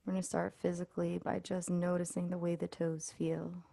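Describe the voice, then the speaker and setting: A woman leading a guided body scan meditation as an instructor, speaking in a soothing, unhurried way with pauses. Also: on the low side, monotone, gravelly, dark tone, dry recording.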